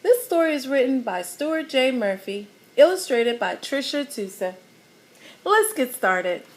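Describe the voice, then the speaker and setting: A woman reading a children's picture book aloud, animated and teacherly, pausing to explain the pictures. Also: high voice.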